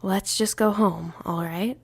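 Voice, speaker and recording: A woman voicing a weary, stern but gentle father who speaks softly and tersely in an audio story. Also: steady tone, measured pace, gravelly, breathy edge. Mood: calm, soothing. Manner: low-key, soft, terse.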